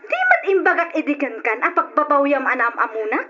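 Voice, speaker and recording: A woman's voice: high-pitched, wildly swooping pitch, a little fast, sharp tone, exaggerated voice. An actress playing a scorned, reproachful mistress confronting her cheating lover in an Ilocano radio drama, accusing and insistent. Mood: accusing, defiant. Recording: dull old radio drama recording, noisy.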